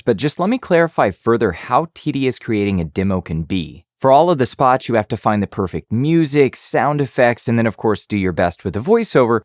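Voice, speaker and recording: A man's voice: medium pitch, a little mellow, dry recording. A man giving an upbeat, direct tutorial to camera as an audio educator, recorded on a studio condenser mic. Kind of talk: casual tutorial talk to camera. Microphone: studio condenser mic on arm.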